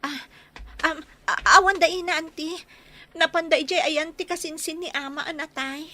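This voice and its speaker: A woman playing a wronged young village daughter in a radio drama, tearful, frightened and halting over music. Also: very high-pitched, fairly even intonation, sharp tone. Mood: subdued, evasive. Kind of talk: radio drama acting in Ilocano. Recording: music under the voice.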